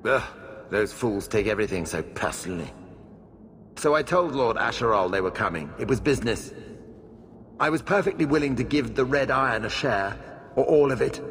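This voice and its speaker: A sneering noble whose gravelly voice turns whiny when cornered. His lines ring with a long reverb. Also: medium pitch, lively intonation, moderate pace, fairly bright tone. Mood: scornful. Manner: haughty, whining, scornful. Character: sneering cowardly male noble in hiding.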